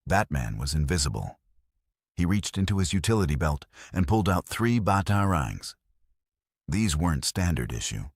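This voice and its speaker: Very deep, husky male storyteller. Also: dry recording, clean studio sound. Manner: dramatic storytelling. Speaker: narrator. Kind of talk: dramatic audiobook narration.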